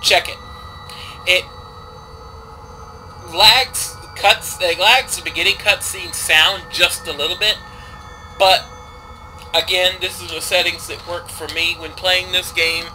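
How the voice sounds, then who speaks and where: A man talks through a video game in a loose, rambling way, full of "uh" and "um." His voice is very bright and sits a little high for a man. Faint noise hums under the desk-mic recording. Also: fairly even intonation, slow, long pauses, rough recording. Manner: rambling, filler-heavy, offhand. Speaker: let's play host. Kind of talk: casual gameplay commentary.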